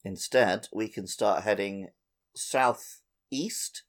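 A man's voice: on the low side, drawn-out words. A man co-hosting a gaming podcast, talking in a casual, conversational style. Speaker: podcast co-host.

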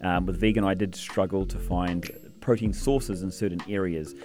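A man with a New Zealand accent, a fitness trainer chatting casually as a radio guest, relaxed and quick to laugh. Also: sits low. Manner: relaxed, chatty, laughs easily, many fillers.

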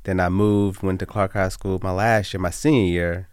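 A middle-aged man with a low voice talks as a guest on a radio show. He speaks in an easygoing, hesitant way with lots of ums, and the studio sound is dry and close. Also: fairly even intonation, moderate pace, darkish tone, smooth, no room sound. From Las Vegas. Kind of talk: casual radio interview.

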